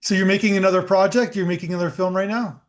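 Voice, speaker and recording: A man hosting a podcast interview, relaxed and chatty, encouraging his guest. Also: sits high, racing. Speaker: podcast host. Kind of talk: casual interview.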